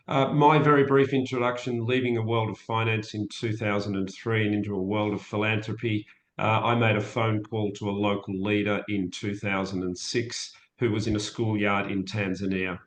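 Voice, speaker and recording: A man co-chairing a webinar, speaking haltingly with many fillers. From Australia. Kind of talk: webinar introduction. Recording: echoey room with faint rumble.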